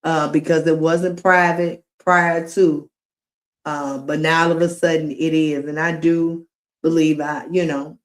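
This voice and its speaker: A woman's voice: sits low, level tone. A woman livestreaming, giving casual commentary and talking straight to her viewers. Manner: slow, drawn-out talk, addressing viewers directly.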